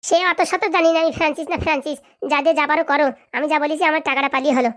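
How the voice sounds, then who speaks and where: A woman as high-pitched, fast-talking cartoon village bossy schemer. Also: steady tone, fairly bright tone. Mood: suspicious. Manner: bossy, menacing, scolding. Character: scheming, threatening, power-hungry village boss woman. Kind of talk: comedy cartoon dialogue.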